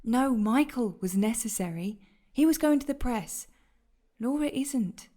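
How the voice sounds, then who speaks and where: A woman playing a secretive, reluctant conspirator in an audio drama, speaking in a hushed, uneasy manner. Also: fairly high pitch, lively intonation, unhurried.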